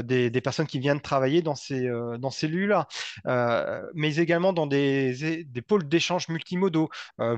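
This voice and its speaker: A man giving a semi-improvised technical presentation, speaking haltingly and often repeating and rephrasing his words. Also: medium pitch, dry recording. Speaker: presenter from a local energy body.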